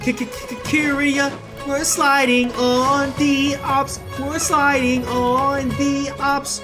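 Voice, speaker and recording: A woman's voice: high voice, flat delivery, very slow. A woman doing casual, slangy gameplay reaction commentary, brash, profane and exclamatory. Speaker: gameplay streamer. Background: game music.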